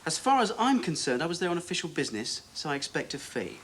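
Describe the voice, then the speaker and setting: A man's voice: fairly high pitch, very animated, fast, fairly bright tone, a little hiss or hum. An actor playing a jokey, teasing local radio DJ presenting his show. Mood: stubborn. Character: breezy male local radio DJ, quick-witted. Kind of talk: 1980 TV drama acting.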